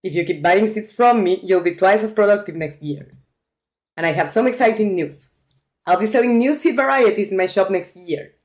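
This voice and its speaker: A woman's voice: medium pitch, normal speed, darkish tone, lightly acted. A woman reading aloud the lines of a friendly male village shopkeeper in a farming game, with upbeat salesman patter. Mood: cheerful.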